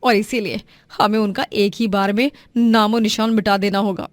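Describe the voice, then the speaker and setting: A woman's voice: mid-range voice, little pitch movement, normal speed, dry recording, natural voice. A woman voicing a cruel, scheming village wife in an audio moral story, emphatic and scornful. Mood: scheming, menacing.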